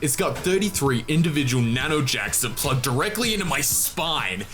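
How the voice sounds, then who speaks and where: A man playing a smug, boastful power-armour owner in a comedy sketch, with a bombastic sales-pitch delivery. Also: animated, moderate pace, a bit bright, lightly acted. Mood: bombastic boasting. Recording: music behind the voice.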